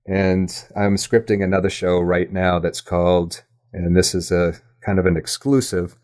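A man speaking as a guest in a casual interview, halting and self-effacing as he thinks aloud. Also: low voice, fairly even intonation, a little mellow. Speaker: podcast guest, audio drama creator.

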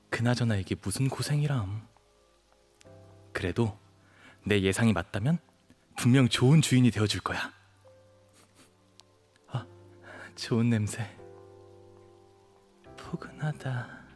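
An actor playing a small, cunning stray puppy shapeshifter in an audio drama, cutely pleading and cockily muttering to himself. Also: male voice, medium pitch, husky. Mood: relieved, smug.

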